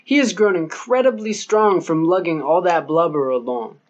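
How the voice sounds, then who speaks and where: A man's voice: on the high side, moderate pace, dull audio, lightly acted. A man voicing a blunt male police lieutenant who explains things matter-of-factly. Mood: explaining.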